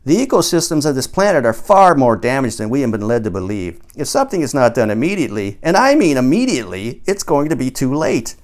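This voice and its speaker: A man's voice: medium pitch, sing-song, quick pace, few pauses, pitched above the performer's own voice, lightly acted. A man voicing an urgent, ranting middle-aged stoner conspiracy theorist in an audiobook, with emphatic, preachy delivery. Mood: alarmed, urgent. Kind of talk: audiobook character dialogue.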